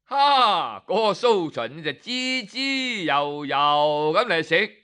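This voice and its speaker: A man narrating a folk tale in a lively, dramatic storytelling style. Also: fairly high pitch, takes it slowly, clean tone.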